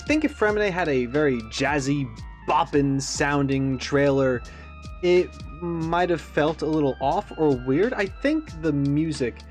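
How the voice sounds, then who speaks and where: A man giving casual reaction commentary as an online host, talking in a musing, speculative way. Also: a little high.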